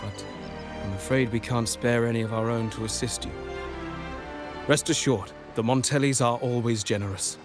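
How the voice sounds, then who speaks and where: A man voicing a courteous, formal nobleman from a merchant family in a game cutscene, speaking with smooth persuasion over game music. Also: medium pitch, fairly even intonation, moderate pace, close to an everyday voice. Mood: earnest.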